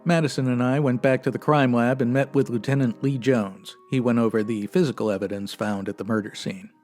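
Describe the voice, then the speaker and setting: Male actor, by-the-book old-time radio detective, man, deadpan, clipped, formal, medium pitch, faint music. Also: fairly quick, close to an everyday voice. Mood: matter-of-fact. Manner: deadpan, formal, matter-of-fact. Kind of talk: 1950s radio detective drama parody, clipped narration.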